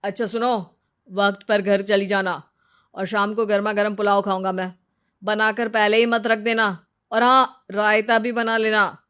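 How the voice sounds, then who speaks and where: Cartoon husband, played by a woman: mid pitch, bossy, demanding, fussy, forever scolding. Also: level tone, a little slow, fairly bright tone, clear, resonant tone, lightly acted. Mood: bossy, grumbling. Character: bossy, nagging husband who scolds like a mother-in-law.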